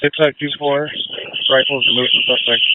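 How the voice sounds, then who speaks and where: A man's voice: medium pitch, fairly even intonation, moderate pace, background noise. A male police officer giving terse, urgent field reports over a handheld radio. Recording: tinny police radio, cutting out.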